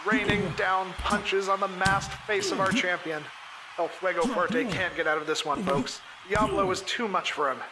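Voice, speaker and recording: High-pitched, excitable wrestling announcer played by a man. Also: moderate pace, over a noisy crowd, natural voice. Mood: excited. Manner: breathlessly dramatic, emphatic short bursts. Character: excitable male lucha libre ringside commentator cheering the champion. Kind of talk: sports commentary in audio drama.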